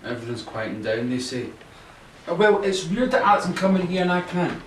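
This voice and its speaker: A man's voice: on the high side, very animated, fairly quick. Man acting bitter sardonic outsider, accusing, audio play, off-mic, echoey. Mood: bitter sarcasm. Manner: sarcastic, accusing. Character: resentful, sardonic outsider man needling privileged hosts. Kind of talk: naturalistic audio drama. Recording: roomy, distant, faintly noisy recording.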